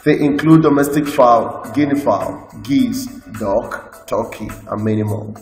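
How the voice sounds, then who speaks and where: A man with a Nigerian English accent, teaching a school lesson by slowly reading out lists from slides. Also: mid-range voice, a little mellow. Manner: slow, list-like reading with explanations. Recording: echoey room with long reverb, faint music.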